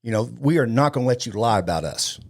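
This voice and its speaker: A man's voice: medium pitch, animated, quick pace, a little mellow. A man in his fifties speaking as an interview guest, blunt and impassioned, repeating phrases for emphasis. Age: 50s. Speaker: podcast guest, law enforcement trainer.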